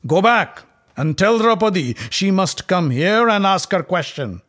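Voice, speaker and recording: A man voicing an impatient, arrogant prince who yells his commands. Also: high-pitched, big pitch swings, unhurried, voice pushed up, exaggerated voice. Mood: commanding. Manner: commanding, yelling.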